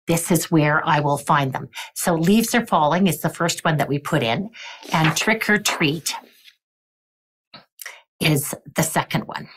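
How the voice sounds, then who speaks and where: Slow, low-voiced older woman teaching music. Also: lively intonation, rough recording. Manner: clears throat often, counts beats aloud. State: croaky voice. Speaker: music educator. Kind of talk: teacher webinar demonstration.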